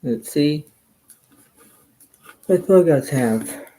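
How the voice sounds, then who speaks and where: A soft-spoken man, a little hoarse, hosting a card game and rambling in repeated filler phrases. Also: fairly high pitch, lively intonation, very slow, low-quality recording. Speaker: channel host playing cards. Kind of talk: casual rambling talk to camera.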